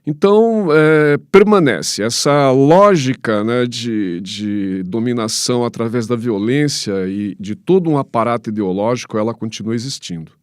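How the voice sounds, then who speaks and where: A Brazilian man answering as a professor in an interview, explaining haltingly with repeated words and frequent tag questions. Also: medium pitch, animated, clean tone, dry recording, studio quality. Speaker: professor, panel guest.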